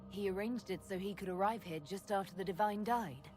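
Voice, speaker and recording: An actress playing a direct, skeptical elven leader in a video game, with a blunt, businesslike manner. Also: female voice, medium pitch, fairly quick, a little mellow, faint music behind, close to an everyday voice.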